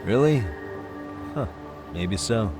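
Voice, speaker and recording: An actor playing a cool, level-headed male private detective in a video game, measured and dry. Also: on the low side, expressive, music in the background. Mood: calm, dry. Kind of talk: English video game voice acting.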